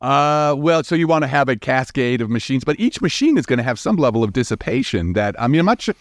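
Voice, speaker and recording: A man's voice: mid-range voice, sing-song, fast, dry recording. A man talking as a guest scientist in an interview, explaining in an informal, emphatic way and thinking aloud as he goes.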